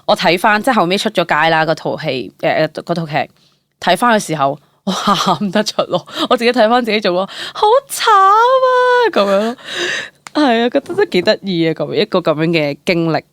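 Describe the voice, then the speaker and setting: A young woman with a Hong Kong Cantonese accent, an actor telling stories casually as an interview guest, chatty and expressive, dropping in English words. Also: mid-range voice, moderate pace, dry recording. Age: young adult.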